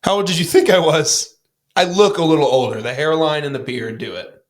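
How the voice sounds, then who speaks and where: A man in his late twenties hosting a podcast, asking questions in a playful, relaxed way. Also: a little high, brisk. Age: 20s. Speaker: podcast host. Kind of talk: casual interview chat.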